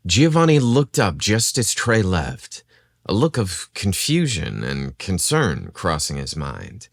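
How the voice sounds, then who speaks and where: A man reading a scripted romance story as a podcast narrator, in a dramatic, expressive storytelling manner. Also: lowish voice, no echo, clean studio sound.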